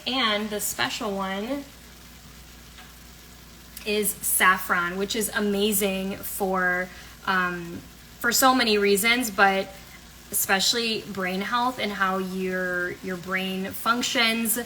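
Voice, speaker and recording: A woman's voice: medium pitch, steady tone, takes it slowly, bright, forceful tone. A young woman hosting a casual cooking demo at home, chatting in an upbeat, rambling way with lots of fillers. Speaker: cooking and health coach host. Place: home kitchen. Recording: noisy kitchen recording. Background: pans and utensils clattering.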